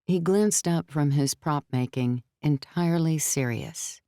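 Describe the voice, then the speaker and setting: Female audiobook narrator, deep low register, clean resonant tone, scripted fiction reading, dry close studio sound. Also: a little slow, dark tone, no echo.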